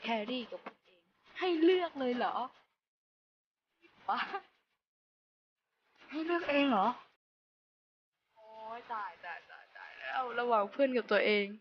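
A woman's voice: fairly high pitch, animated, unhurried, pauses often, slight background noise. A young woman giving casual, playful let's-play commentary, slangy and talking to herself while reading game text aloud. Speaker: gamer playing through a horror visual novel. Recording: dull lo-fi mic with loud music. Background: loud background music.

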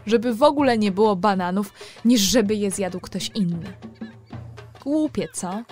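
A woman's voice: mid-range voice, darkish tone. A woman hosting a children's programme, telling stories playfully and speaking straight to her young listeners. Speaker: host and storyteller of a children's programme. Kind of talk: storytelling for children.

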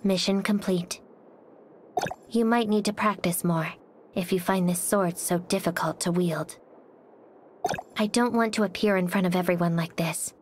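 A cold, withdrawn young female warrior from a video game, acted by a woman. Her voice sits a little low, soft and breathy, with a hesitant, formal, faraway sadness. Clear background noise sits under the lines. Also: natural voice. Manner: quiet, hesitant, detached, formal. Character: cold, reserved, melancholy young female swordswoman. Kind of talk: scripted game character voice lines.

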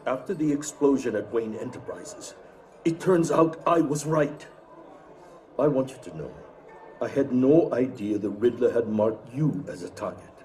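A man acting a cagey older crime boss who owns a casino in a video game, formal, measured and politely evasive. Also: medium pitch, mellow, soft background music, natural voice, rough recording. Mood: confiding, wary.

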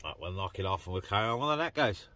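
A man's voice: on the low side, expressive, fast talker, bright, forceful tone, slight background noise. A man vlogging casually and talking on the radio from inside a parked car, addressing viewers informally. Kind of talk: casual vlog chat and radio talk.

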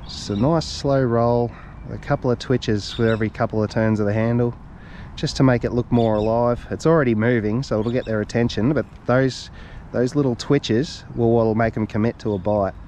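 A man with an Australian accent, talking to himself in casual running commentary as he fishes from a reservoir bank, chatty and quick to laugh. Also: medium pitch, steady tone, a little mellow, a little hiss or hum. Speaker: fishing vlogger. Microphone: head- or chest-mounted action camera.

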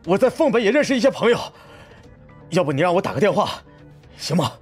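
A man's voice: high-pitched, very animated, fast, breathy edge, faint music behind, full character voice. A man playing a tense, stern older brother in an audiobook, terse and urgent. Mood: pained pleading.

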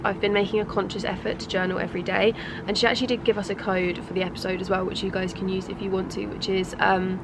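A woman's voice: medium pitch, background noise. A young British woman with a congested voice chatting casually and informally to camera in a rambling way. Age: young adult. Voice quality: stuffy, congested. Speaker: lifestyle vlogger. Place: outdoor balcony.

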